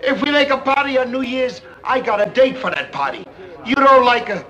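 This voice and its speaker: A man's voice: very high voice, a little fast, bright, forceful tone, highs cut off. An actor playing a fed-up, lonely, blunt working man in his thirties in a 1950s film drama, speaking emphatically. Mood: defiant, impassioned. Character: fed-up, lonely, plain-spoken heavyset working man standing up to friends. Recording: vintage 1950s film soundtrack.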